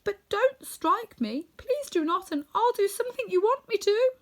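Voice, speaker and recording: A woman's voice: sits high, big pitch swings, moderate pace, a bit bright, voice pushed up. Woman doing a meek, trembling little old man. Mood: trembling, fearful. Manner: trembling, pleading, apologetic. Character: meek, frightened little old man, exposed humbug wizard.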